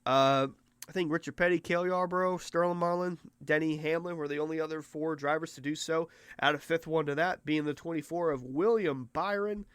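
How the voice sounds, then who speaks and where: A hoarse man with a fairly high voice talks through a race recap in a loose, rambling way. He gets excitable as he goes, and the sound is dry and close. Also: fairly quick, no room sound. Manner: rambling and excitable, mimics officials in mock voices. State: hoarse, losing his voice. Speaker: racing podcast host. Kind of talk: casual race recap commentary.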